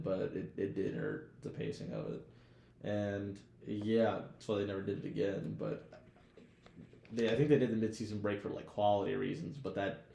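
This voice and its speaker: Young male podcast co-host, gravelly and mellow, casual slang and swearing, roomy sound. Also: fairly low pitch, slight background noise. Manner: slangy, profane.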